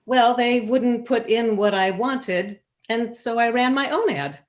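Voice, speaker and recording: A woman playing a cheerful, gently defiant old lady in a staged play reading over a video call. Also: fairly high pitch, close to an everyday voice. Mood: cheerfully stubborn. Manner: unhurried, expressive, gently chuckling.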